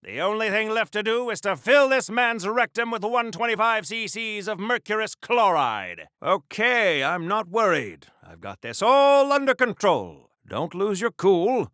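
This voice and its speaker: An actor playing an earnest, socially awkward old-time superhero in a video game, chirpy and rambling, with quaint old-fashioned exclamations. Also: male voice, sits high, big pitch swings, unhurried, hardly any pauses, pushed and bright, dry recording, studio quality, exaggerated voice. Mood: focused, chipper. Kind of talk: mid-century hero voice acting for a video game.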